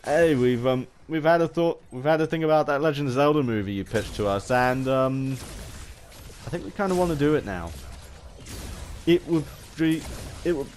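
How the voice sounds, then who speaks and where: Guy rambling like a gaming vlogger, medium pitch, keeps tripping over words and restarting, bit of noise in the background. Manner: halting, often stumbles and repeats phrases. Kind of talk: rambling casual commentary to viewers.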